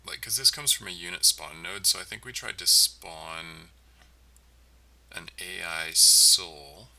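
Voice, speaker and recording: A man giving casual, halting commentary as he codes on a livestream, muttering to himself as he thinks aloud. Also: low-pitched, fairly even intonation, pushed and bright, distant mic. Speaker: game developer livestreaming. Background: typing and mouse clicking.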